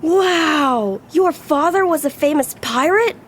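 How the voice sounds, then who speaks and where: A woman's voice: high voice, animated, slow, a little hiss or hum. A woman voicing a polite, earnest, naive young boy in an audio drama, deferential and wide-eyed. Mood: awed, curious.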